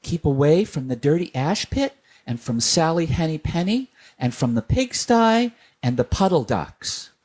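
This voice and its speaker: A man's voice: on the high side, very animated, unhurried, hardly any pauses, a little mellow, slightly rough, voice pushed up, exaggerated voice. A man reading the part of a prim mother cat who scolds her kittens. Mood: scolding. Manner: scolding, indignant.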